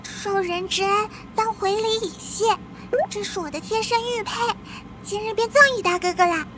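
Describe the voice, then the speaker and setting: A woman voicing a sweet, childlike little princess in an animated drama. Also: extremely high pitch, moderate pace, heavy background noise.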